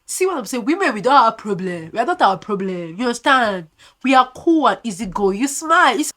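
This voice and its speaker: Brief: young Nigerian woman, vlogger, bright lively voice, high and animated, quick to laugh out loud. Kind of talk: casual video chat commentary.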